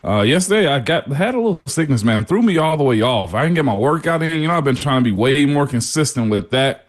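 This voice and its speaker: A man's voice: medium pitch, sing-song, fast talker. A man in his forties co-hosting a sports radio show from home, laid-back and laughing often. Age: 40s. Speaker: co-host. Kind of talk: casual sports radio chat. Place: at home, remote.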